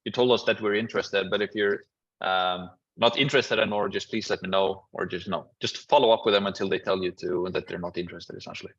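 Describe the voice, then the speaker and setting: A man coaches a group on a video call, giving advice in a quick, relaxed stream. His voice is low and husky. The call audio is muffled. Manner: fast, relaxed, casual advice. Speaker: business coach hosting a Q&A call.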